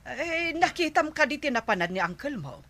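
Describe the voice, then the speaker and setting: An actress with an Ilocano accent playing a practical, homely village aunt in a radio comedy drama. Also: female voice, high voice, big pitch swings, normal speed, fairly bright tone. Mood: matter-of-fact.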